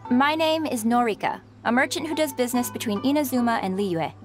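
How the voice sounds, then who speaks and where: High-voiced polite merchant woman played by an actress. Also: expressive, brisk, over music, natural voice. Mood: polite, businesslike. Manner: courteous, formal, composed. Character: polite, businesslike female travelling merchant. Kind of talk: English video game dub acting.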